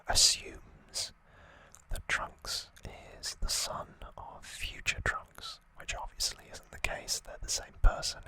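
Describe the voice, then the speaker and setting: Whispered, bright ASMR host. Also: no room sound. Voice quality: breathy, unvoiced whisper. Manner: hesitant, halting phrasing with self-corrections. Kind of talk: whispered ASMR, rambling episode recap. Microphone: close ASMR microphone.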